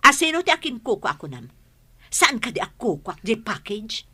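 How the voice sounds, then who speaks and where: Sharp, husky, suspicious household matriarch. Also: female voice, on the high side, very animated, moderate pace, full character voice. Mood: indignant, suspicious. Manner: sharp, interrogating. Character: suspicious, domineering mother and mistress of the house. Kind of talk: radio drama acting.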